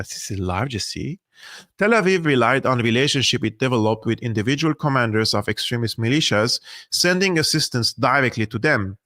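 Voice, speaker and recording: Guy, live stream host, bright pushy voice, really emphatic. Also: mid-range voice, no room sound, clean studio sound. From Syria. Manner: emphatic and argumentative, with restarts. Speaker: host of a political analysis channel. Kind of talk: live stream commentary, reading an article aloud.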